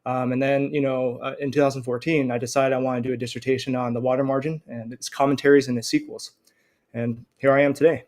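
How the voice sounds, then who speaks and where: An American man in his thirties, a scholar answering interview questions in a friendly, measured way. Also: mid-range voice, level tone, darkish tone. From United States. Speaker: guest scholar.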